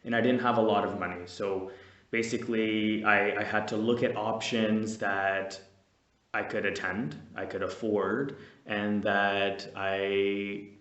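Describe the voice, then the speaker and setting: A man's voice: fairly low pitch, little pitch movement, slow pace, fairly bright tone. A young man, vlogging casually and a bit nervously, rambling with many fillers and restarts. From Canada. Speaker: vlogger, veterinary student. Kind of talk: casual to-camera vlog. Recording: echoey room, distant mic.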